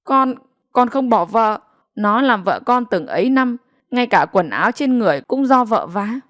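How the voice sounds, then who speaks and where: A woman's voice: medium pitch, brisk, no room sound, natural voice. Lady reading as a wronged stubborn husband, insistent and aggrieved, dry close audio story sound. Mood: aggrieved pleading. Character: wronged, stubborn deaf-mute husband, interpreted aloud by wife.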